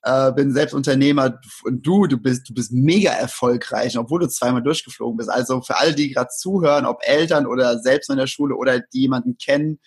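Male podcast host, husky voice, enthusiastic, laughs a lot, casual slang. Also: medium pitch, a little fast, hardly any pauses. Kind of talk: casual interview talk.

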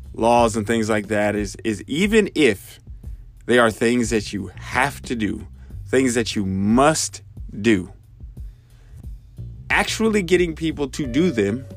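A man in his thirties, congested, hosting a podcast and thinking aloud, with many fillers and restarts. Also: medium pitch. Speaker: podcast host. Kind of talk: casual spoken reflection.

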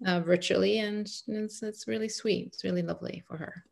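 A woman's voice: mid-range voice, steady tone, a little fast, creaky, breathy edge. A middle-aged woman who grew up in Egypt, speaking as an interview guest in an earnest, chatty way full of restarts. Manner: warm, earnest, many fillers and restarts. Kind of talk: casual conversational interview.

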